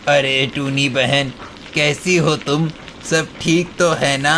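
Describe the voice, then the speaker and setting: A man's voice: on the high side, steady tone, slow pace, bright, forceful tone, slight background noise, exaggerated voice. A man voicing a grown male crow who is blunt and gloomy in a cartoon story. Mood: friendly. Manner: blunt, familiar.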